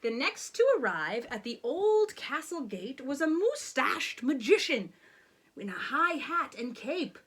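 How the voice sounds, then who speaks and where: High, very animated woman storyteller. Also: measured pace. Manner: theatrical, rhythmic rhyme reading. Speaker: storybook reader. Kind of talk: expressive children's bedtime storytelling.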